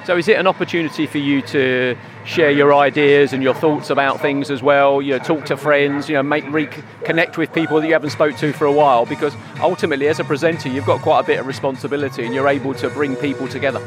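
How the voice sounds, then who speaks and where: Fast-talking man interviewer. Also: on the high side, fairly even intonation, music in the background. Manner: friendly, leading questions. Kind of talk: casual interview. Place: outdoor community event.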